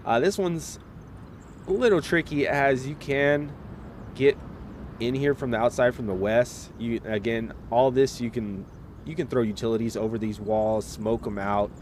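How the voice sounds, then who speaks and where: A man casts an esports match in an easy, chatty way, speaking right to the viewers. His voice sits at a middle pitch and carries a slight cold. Faint outdoor noise hums behind him. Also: measured pace. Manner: relaxed, chatty, talks straight to viewers. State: getting over a slight cold. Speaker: esports caster. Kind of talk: live esports match commentary.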